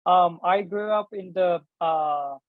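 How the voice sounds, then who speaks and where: A man's voice: sits high, takes it slowly, no echo. Turkish-accented man on a phone-like line. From Turkey. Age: 30s. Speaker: guest, online seller and business owner. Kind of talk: interview answers, explaining. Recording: thin phone-like call audio.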